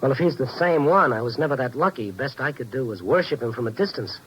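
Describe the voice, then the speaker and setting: A man's voice: mid-range voice, animated, fast, fairly bright tone, a little hiss or hum, lightly acted, poor audio. An actor playing a world-weary, compassionate American newspaper reporter who narrates his own story in 1950s radio noir style. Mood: curious, wistful. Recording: vintage 1950s radio recording with music behind. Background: dramatic underscoring music.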